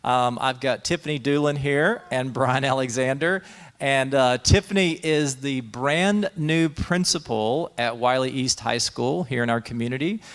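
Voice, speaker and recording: A man's voice: mid-range voice, unhurried, fairly bright tone. A man, a pastor, hosting an interview and talking in a friendly way, laughing easily.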